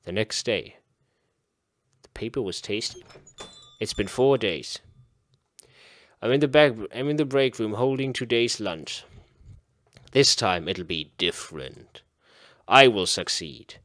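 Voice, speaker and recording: A man's voice: lowish voice, lively intonation, slow, pauses often, a bit bright, dry recording, studio quality. A man narrating a visual novel aloud during a playthrough, with a dramatic read-aloud delivery. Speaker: gaming video creator.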